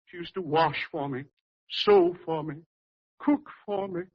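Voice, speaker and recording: An actor playing a florid, sentimental uncle in a 1940s radio sitcom, speaking in a pompous, genteel manner. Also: male voice, on the high side, a little slow. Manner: pompous, flowery. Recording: muffled vintage radio recording.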